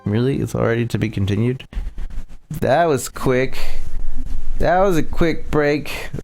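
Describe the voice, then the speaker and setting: Casual male game streamer, mid-pitched. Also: lively intonation, unhurried, creaky, darkish tone, faint music behind. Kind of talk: casual chat to stream viewers.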